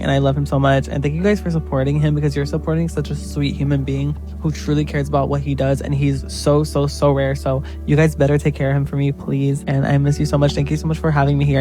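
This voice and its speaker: A man in his twenties, a guest on a friend's channel, talking in a gushing, effusive way. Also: on the high side. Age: 20s. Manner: gushing, effusive, lots of "literally" and "like". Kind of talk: casual chat.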